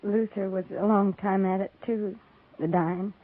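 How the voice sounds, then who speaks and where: A woman's voice: medium pitch, fairly even intonation, unhurried, lightly acted. A grieving young pioneer widow, played by a radio actress, bitter and worn down but stubborn. She sounds like a 1950s Western radio drama, heard through a thin, narrow old broadcast band. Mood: weary, bitter grief.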